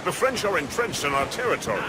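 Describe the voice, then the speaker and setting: A confident older ship's mate in a video game. His hearty voice is raised and lively, set against very loud waves and ship noise. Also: fairly high pitch, quick pace. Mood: hearty, assured. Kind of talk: dramatic video game cutscene acting.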